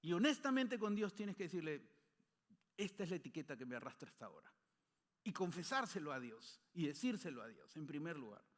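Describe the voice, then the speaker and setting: A man with a notably high voice preaching an earnest sermon. His declarations climb and his phrase endings fall away softly, and a long reverb trails every word through a large church hall. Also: big pitch swings, fairly quick. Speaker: pastor.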